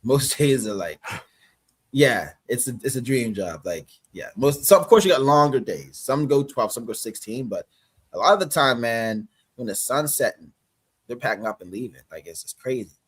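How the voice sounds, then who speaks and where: A man with a Canadian accent, a guest actor chatting casually on a livestream, relaxed and rambling, laughing often. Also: medium pitch, normal speed, husky.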